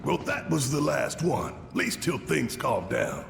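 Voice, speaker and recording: Gravelly, blustering fighter. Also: male voice, medium pitch, big pitch swings, measured pace, music in the background, heavily acted. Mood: exasperated. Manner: gruff, emphatic. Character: gruff, impatient, blustering male resistance fighter. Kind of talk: cinematic video game acting.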